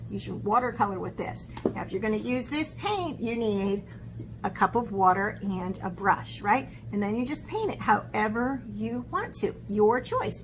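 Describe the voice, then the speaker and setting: A woman's voice: fairly high pitch, noisy background. A woman talking children through an art lesson as teacher and host, chatty and encouraging, often checking in with short questions. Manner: encouraging, chatty, frequent check-in tags like "okay?". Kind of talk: instructional video talk to children, with read-aloud.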